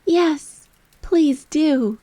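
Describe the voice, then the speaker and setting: A woman's voice: sits high, very slow, dark tone, no echo, voice pushed up. A woman voicing a polite, earnest, curious young girl in a storybook. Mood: alarmed, pleading.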